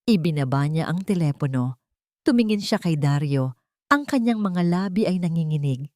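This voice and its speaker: A woman narrator with a Filipino accent reads a dramatic audiobook story. Her voice sits a little low, and the recording is dry, with very little room sound. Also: expressive, moderate pace, darkish tone, no echo.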